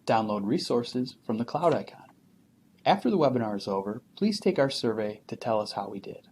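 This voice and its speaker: A man reads scripted instructions in a smooth, even announcer style. There is a faint hiss behind him. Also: medium pitch, fairly quick. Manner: even, polished announcer reading. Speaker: instructional video narrator. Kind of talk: scripted narration.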